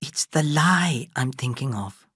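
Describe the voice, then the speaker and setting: A man doing a calm, wise household servant. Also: medium pitch, a little slow, breathy edge, dry recording, studio quality. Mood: thoughtful.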